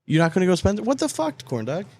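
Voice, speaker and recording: A man's voice: high voice, wildly swooping pitch, quick pace, darkish tone. A man hosting a casual sports podcast chat, talking in a blunt, emphatic way and swearing freely. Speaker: podcast host.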